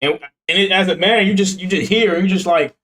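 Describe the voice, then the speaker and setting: A man's voice: sits high, fairly quick, pushed and bright. A man in his twenties chatting casually on stream, joking around in a slangy way and laughing often. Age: 20s. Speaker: streamer reacting to videos.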